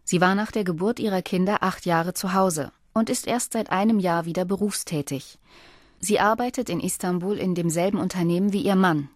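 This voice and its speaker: A woman reading scripted documentary narration in a measured, even way. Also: fairly low pitch, no room sound, studio quality.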